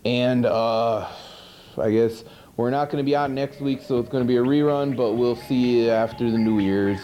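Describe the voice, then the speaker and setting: A man's voice: medium pitch, steady tone, moderate pace, mellow, lo-fi sound, close to an everyday voice. A man playing a grumpy, dim monster who hosts a TV horror-movie show, rambling and complaining in exasperated disbelief. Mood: resigned, signing off. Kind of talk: rambling comedic hosted segment. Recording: 1980s VHS TV recording with music.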